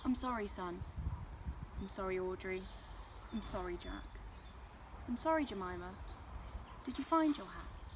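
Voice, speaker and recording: A woman's voice: medium pitch, animated, a little slow, pauses often, natural voice. Female reader as male storybook rain cloud: sulky, stubborn, snappish. Noisy recording. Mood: remorseful. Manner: huffy, snappish.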